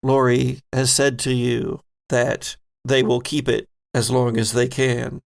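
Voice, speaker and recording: A man running a tabletop horror game as game master, narrating dramatically in deliberate short phrases with pauses. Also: mid-range voice, vocal fry.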